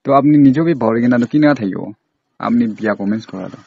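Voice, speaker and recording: A man's voice: mid-range voice, rapid-fire, creaky, husky, no room sound. A man on a casual, confrontational phone call, scolding and accusing insistently. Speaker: prank caller.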